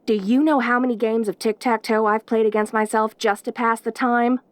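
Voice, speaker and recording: Woman playing a high-voiced, perky lonely demon girl. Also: steady tone, moderate pace, no room sound, lightly acted. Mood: delighted, grateful. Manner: rambling, stammering, eager, giggly. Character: perky, lonely caged demon girl, clingy and sweetly chatty. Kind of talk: audio roleplay acting, addressing the listener intimately.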